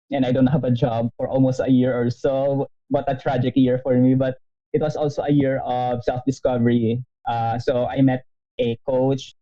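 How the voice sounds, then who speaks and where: A man in his thirties tells his story as a podcast guest, with a very dark, mellow voice. He talks animatedly, laughing often and restarting his sentences. The audio sounds dull and muffled. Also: mid-range voice. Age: 30s. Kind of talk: casual interview storytelling.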